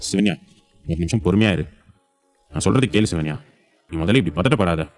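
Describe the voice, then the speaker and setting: A man's voice: sits low, animated, brisk, darkish tone, soft background music. A man voicing an earnest young husband in an audio drama, pleading and placating. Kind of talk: audio serial drama acting.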